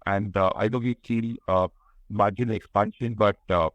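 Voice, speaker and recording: Male analyst, Indian accent, lowish voice, dry sound, tentative questioning on a conference call. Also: fairly even intonation, normal speed, fairly bright tone, no room sound, dull audio. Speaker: financial analyst asking questions.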